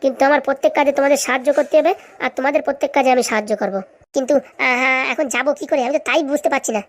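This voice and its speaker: A woman's voice: high-pitched, steady tone, racing, natural voice. A woman voicing a greedy, scheming village woman in a cartoon comedy, chatty and bickering in colloquial speech. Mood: greedy plotting.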